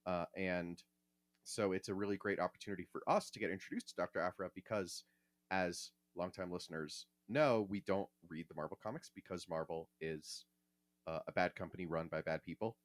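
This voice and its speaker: A man's voice: low voice, a little fast, dry recording. A man co-hosting a podcast, explaining things in a casual, conversational way. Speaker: podcast co-host.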